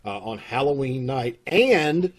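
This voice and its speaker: A man's voice: medium pitch, very animated, takes it slowly. A man with a Kentucky accent, leading a theater troupe and making casual, rambling announcements over a video call. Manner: folksy, rambling, with fillers.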